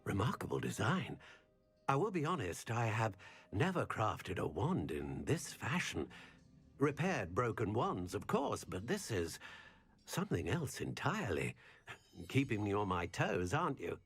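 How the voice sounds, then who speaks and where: Intrigued elderly craftsman wizard: lively melodic delivery, wry and polite, thinking aloud in a fantasy game. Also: lowish voice, unhurried, breathy edge, exaggerated voice. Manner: musing, courteous, wry. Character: intrigued, courteous old master wandmaker. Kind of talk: cinematic fantasy game acting. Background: music.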